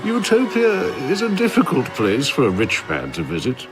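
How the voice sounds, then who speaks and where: An actor playing a proud, mocking citizen of an ideal island in an audio drama, speaking with scornful amusement. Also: male voice, on the high side, big pitch swings, normal speed, gravelly, music in the background. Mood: wry amusement.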